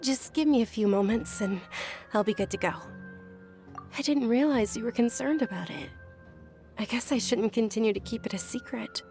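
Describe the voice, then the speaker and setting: A woman playing a gentle, frail young noblewoman in a video game, speaking softly and politely with earnest, measured delivery. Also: fairly high pitch, faint music behind, close to an everyday voice. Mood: calm, resigned.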